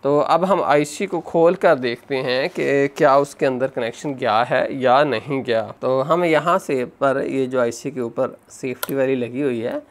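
A man's voice: mid-range voice, unhurried, few pauses, a little hiss or hum. A man narrating a hands-on repair tutorial step by step, often addressing viewers as friends. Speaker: phone repair instructor.

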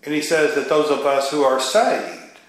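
Male preacher in a very echoey room. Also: fairly high pitch. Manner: earnest, reflective, halting with repeated phrases. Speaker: Baptist preacher. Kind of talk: extemporaneous verse-by-verse sermon. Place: live sermon relayed to a radio station. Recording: very echoey, reverberant room sound.

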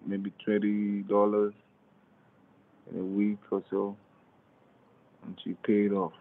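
A man's voice: on the low side, monotone, slow pace, phone-quality sound. A man calling as a scammer, insistently pressing for phones and purchases. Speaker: scam caller.

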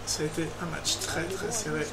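A man casually chatting while playing a football video game, shouting excitedly at goals. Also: fairly high pitch, moderate pace, a loud crowd. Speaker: gamer co-host. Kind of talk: casual gaming commentary. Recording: roomy, far-off mic, game noise.

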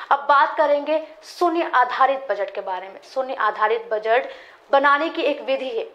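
A woman in her twenties reading a scripted news explainer as a presenter, in a formal, measured manner. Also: high voice, fairly bright tone, highs cut off.

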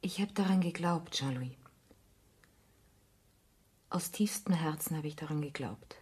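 An actress playing a grave, disillusioned woman in a radio drama, with a husky, breathy voice and measured, sombre delivery. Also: low-pitched, a little mellow. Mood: hushed sorrow. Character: disillusioned, grave woman ending a love affair. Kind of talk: German radio play acting, intimate dialogue.

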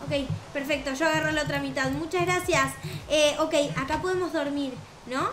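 A woman's voice: high voice, normal speed, roomy sound, a little hiss or hum. A young woman with a Rioplatense Spanish accent, chatting expressively and asking questions as she roleplays through a video game. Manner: expressive, asks many questions. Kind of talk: improvised gameplay roleplay chat. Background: music.

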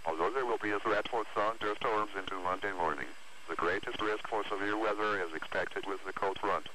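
A man's voice: on the low side, brisk, pushed and bright. A synthetic man's voice reading scripted weather alerts as an automated announcer over the radio. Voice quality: synthetic text-to-speech voice. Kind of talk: scripted hazardous weather bulletin. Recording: noisy weather radio broadcast recording.

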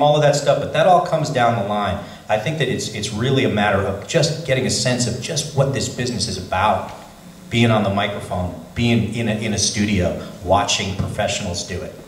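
A man talking at a convention panel, telling his career story in a chatty, animated way. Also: medium pitch, slight background noise. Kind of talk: casual panel talk. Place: large convention hall. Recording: echoey hall, voice from far.

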